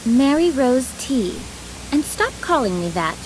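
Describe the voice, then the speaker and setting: A woman's voice: high voice, very animated, unhurried, slight background noise, low-quality recording. A woman voice-acting a refined, snooty young noblewoman in a video game, genteel and icily polite. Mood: annoyed. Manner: haughty, genteel, cool.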